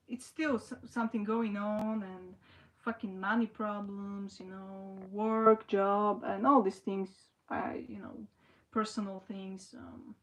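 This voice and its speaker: A young woman talks casually to her livestream viewers. She speaks haltingly, starting sentences over and leaning on "you know". Her pitch sits in an ordinary middle range. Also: rough recording. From Slovakia. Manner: halting, restarts often, frequent "you know". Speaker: livestream host.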